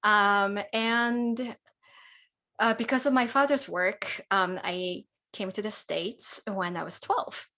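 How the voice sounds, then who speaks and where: A woman in her forties from Japan, Japanese her first language, answering interview questions in a relaxed, chatty way over a video call from home. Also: medium pitch, slow. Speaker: guest pianist. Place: home room, indoors.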